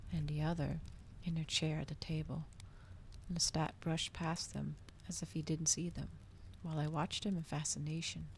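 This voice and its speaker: Soft whispery lady doing an asmr book reading, low voice, pretty flat delivery. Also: slight background noise. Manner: soft, hushed, breathy, often slipping into whisper. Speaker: streamer reading a novel aloud.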